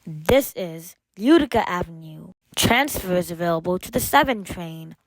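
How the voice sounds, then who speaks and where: A woman reading scripted train announcements as an automated announcer, in measured, formulaic phrases with separate segments. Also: fairly low pitch, lively intonation, dry recording, rough recording.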